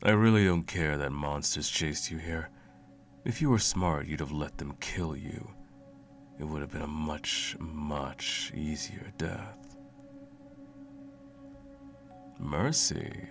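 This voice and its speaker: Man acting a very deep, gravelly evil sorcerer. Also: heavily acted. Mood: sadistic, gloating. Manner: slow, menacing, condescending, theatrical drawl. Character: cruel, smug, all-powerful evil male sorcerer, lonely. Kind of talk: ASMR roleplay monologue. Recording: music playing under the voice. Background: music.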